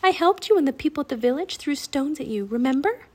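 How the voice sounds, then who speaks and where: A woman voicing a bold, excitable little girl with an eager, exclaiming manner in a children's story. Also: high voice, fast, voice pushed up. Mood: urgent, pleading.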